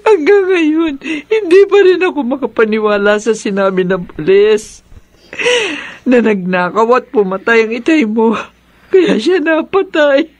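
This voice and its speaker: A woman's voice: fairly high pitch, animated, a little slow, darkish tone, no room sound. A woman playing a sickly, grieving mother in a radio drama, sorrowful and weary but stubborn.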